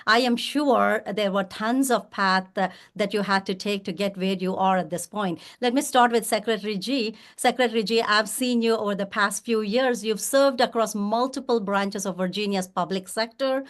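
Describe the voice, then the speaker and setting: A woman's voice: mid-range voice, moderate pace, hardly any pauses. A woman moderating a panel discussion, introducing speakers and putting questions to them.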